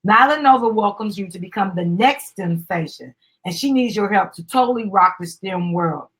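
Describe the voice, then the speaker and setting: A middle-aged woman presents a children's book in a husky voice. She reads with animation, then steps out of the story to explain it. Her manner is encouraging and instructive, aimed at young listeners and their parents. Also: medium pitch, expressive, moderate pace, a bit bright, poor audio.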